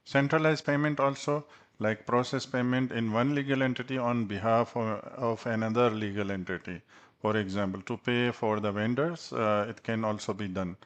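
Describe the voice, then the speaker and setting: A man presenting a software tutorial as an instructor, speaking haltingly with frequent hesitations. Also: medium pitch, fairly even intonation. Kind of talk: tutorial presentation over slides.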